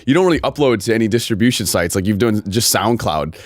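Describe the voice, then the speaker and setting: A man's voice: on the low side, expressive, no echo. A man hosting an interview in a casual chat, upbeat and joking. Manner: upbeat, joking, quick back-and-forth. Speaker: interview host.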